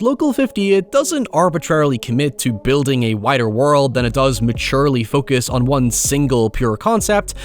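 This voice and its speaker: A man, reading a scripted video essay about horror media in an articulate, animated way. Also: mid-range voice.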